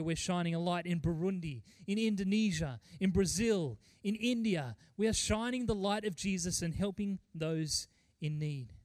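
A middle-aged male pastor delivers a sermon in a fairly high voice. He is earnest and emphatic, pausing deliberately and repeating key phrases. The sound is dry, with very little room echo. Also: a little mellow. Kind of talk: sermon with mission report and scripture reading.

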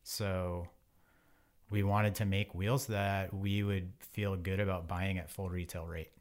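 A man in his forties with a very low voice speaks as a guest in a casual interview. His delivery is informal and rambling, threaded with filler words. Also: flat delivery, slow. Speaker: podcast guest, bike wheel company owner.

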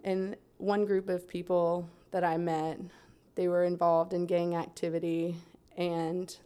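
Young woman telling her story, soft and steady, lowish voice, lots of little pauses. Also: smooth. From Central Florida. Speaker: guest sharing her life story. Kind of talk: personal testimony in a conversation.

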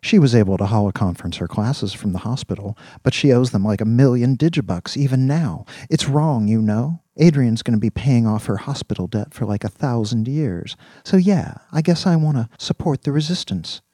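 A man's voice: medium pitch, animated, moderate pace, few pauses, warm tone, slightly rough, no room sound, clean studio sound, voice pushed up. A man voicing an eager, naive young male college student in an audiobook, chatting in casual slang. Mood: eager, chatty. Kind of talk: audiobook character dialogue.

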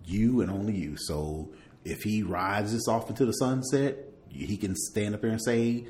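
A man with a fairly low voice talks as a podcast co-host. His delivery is casual and conversational. He is animated and emphatic, repeating words to land his point. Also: moderate pace.

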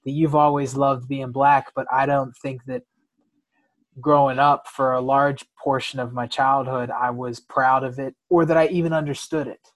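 A man's voice: medium pitch, little pitch movement, moderate pace. American man telling his personal story candidly. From United States. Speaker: interview guest.

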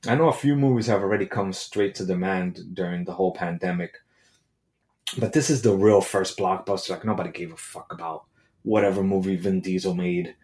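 A man with a fairly low voice rants in a loose, unscripted way. He swears constantly and mixes sarcasm with mock outrage, and his talk is full of fillers and restarts. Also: a little fast, a little mellow. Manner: crude, sarcastic, profane. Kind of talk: casual solo rant.

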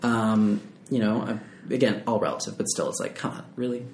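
Very low-voiced, wry woman. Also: steady tone, brisk, a little mellow, echoey room, a little hiss or hum. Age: late 20s. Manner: wry, sarcastic, quoting others in put-on voices. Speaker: podcast co-host. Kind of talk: casual chat.

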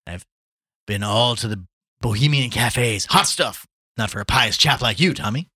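A man's voice: mid-range voice, normal speed, bright, forceful tone, breathy edge, voice pushed up. A man voicing a jovial, boastful journalist in a storybook, hearty and exclamatory. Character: loud, swaggering, jovial male Irish journalist back from London.

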